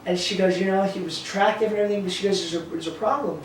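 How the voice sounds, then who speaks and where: A man in his thirties tells stories with a lot of energy, slipping into different voices to act out what others said. His voice sits rather high, and it sounds distant in an echoey room with faint background noise. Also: rapid-fire. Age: 30s. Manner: animated storyteller, acts out quotes. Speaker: podcast host. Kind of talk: casual chat.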